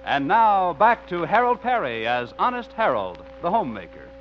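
A man making announcements and narrating a 1950s radio comedy in a crisp, declamatory way. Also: sits high, big pitch swings, moderate pace, soft background music. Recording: muffled old radio broadcast.

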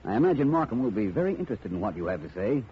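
An American actor playing a shrewd, unflappable private detective in a 1950 radio mystery, measured, wry and self-assured. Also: male voice, lowish voice, sing-song, breathy edge, heavily acted. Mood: wry, calm. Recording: noisy, dull vintage radio recording.

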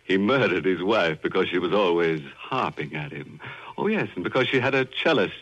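A man's voice: low voice, expressive, moderate pace, fairly bright tone. A man hosting a 1940s radio mystery show, theatrical, punning and chuckling. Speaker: host. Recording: dull old radio recording with music.

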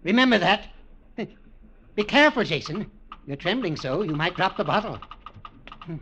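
An actor playing a cold, taunting, middle-aged businessman uncle in an old radio drama, with a sneering, deliberate delivery. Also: high voice, big pitch swings, noisy background, full character voice. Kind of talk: 1940s-50s radio drama acting. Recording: dull vintage radio recording.

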